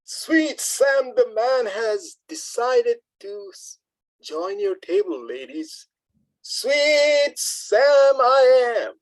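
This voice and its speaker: A vain old charmer played by an amateur actor in a radio comedy, boasting at a very slow, drawn-out pace. The delivery swoops up and down with self-satisfaction. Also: high voice, animated, exaggerated voice, rough recording. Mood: smug, boastful.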